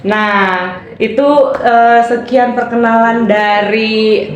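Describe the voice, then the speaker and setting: A young woman from East Nusa Tenggara hosting a casual podcast interview, friendly and full of fillers and quick agreements. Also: fairly high pitch, level tone, muffled recording. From East Nusa Tenggara, Indonesia. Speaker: podcast host. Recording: very echoey room, faint noise.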